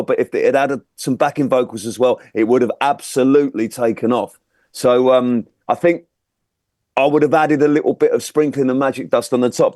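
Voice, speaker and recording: A man talks casually as a music producer judging songs. His voice sits at an average pitch. The recording is dry, without room reflections. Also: a little mellow, no room sound. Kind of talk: casual chat, song critique.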